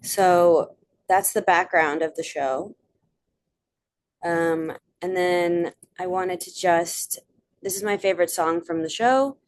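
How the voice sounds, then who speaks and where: A woman's voice: lowish voice, slow pace. Chatty young woman presenting theater picks. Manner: chatty, with many "um" fillers and asides. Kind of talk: casual online presentation.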